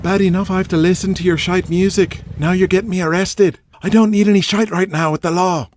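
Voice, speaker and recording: A man's voice: sits high, steady tone, a little fast, a little mellow, natural voice. A man playing a bitter, foul-mouthed Irish pro wrestler in an audio drama, blunt and belligerent. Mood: irritated.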